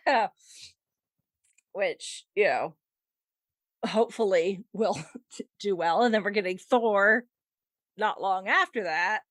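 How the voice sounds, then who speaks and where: A woman chatting casually about films as a podcast panel host, often halting and trailing off mid-sentence. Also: mid-range voice, fairly bright tone, no room sound, studio quality. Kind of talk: casual film discussion.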